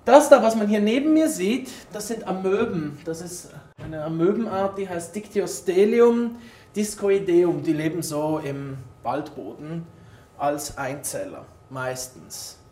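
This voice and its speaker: A man's voice: high voice, expressive, measured pace, a little mellow, slight background noise. A man giving an explanatory conference talk in an informal way, addressing his audience directly as a native German speaker. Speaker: conference speaker, former molecular biologist. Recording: roomy echo, distant microphone in hall.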